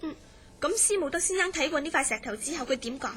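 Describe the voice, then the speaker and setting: An actress playing a curious, easily astonished woman in a horror radio drama, speaking in an expressive, questioning way. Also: high voice, quick pace. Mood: curious, puzzled. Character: curious, astonished woman recounting a friend's strange dreams. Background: background music.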